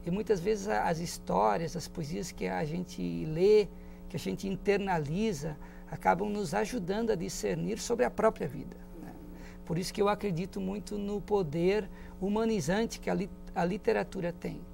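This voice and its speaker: A man with a notably high voice speaks in a thoughtful studio interview. His tone carries a strong gravelly crackle, and he picks his words slowly, restarting phrases as he goes. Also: darkish tone, faint music behind. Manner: reflective, searching for words with many restarts. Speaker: guest, public school teacher and parent.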